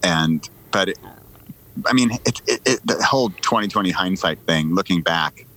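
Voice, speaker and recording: A man's voice: deep voice, normal speed, fairly bright tone, a little hiss or hum, poor audio. A middle-aged man, telling casual stories as a podcast guest in a joking, conversational way. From United States.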